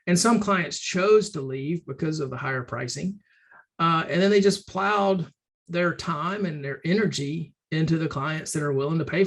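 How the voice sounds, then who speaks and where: Casting note: man with a raised pitch for his sex, slightly hoarse, chatty and rambling webinar presenter. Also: a bit bright. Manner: loose and conversational, many ums and restarts. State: slightly hoarse, clearing throat. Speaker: webinar presenter for a firm advisory program. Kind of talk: casual webinar talk.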